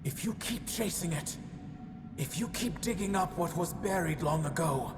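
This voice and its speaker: An actress playing an ancient, solemn female giant in a game cutscene, stubborn and wise, speaking in measured, portentous phrases with cavernous reverb. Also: fairly low pitch, expressive. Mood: ominous warning. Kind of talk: dramatic game cutscene monologue. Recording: long cavernous reverb, noisy ambience.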